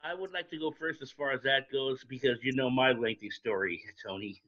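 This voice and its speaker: A man's voice: mid-range voice, a little fast, bright, forceful tone. A man chatting casually on a video call, telling stories in a blunt, emphatic way. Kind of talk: casual storytelling chat. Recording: dull, lo-fi call audio.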